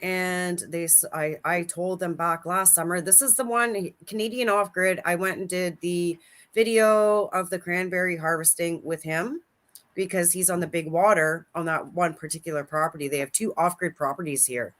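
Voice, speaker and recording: A woman's voice: lowish voice, steady tone, normal speed, fairly bright tone. Chatty woman in her forties, laughing. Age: 40s. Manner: chatty, laughs often, storytelling. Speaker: guest. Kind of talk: casual livestream chat.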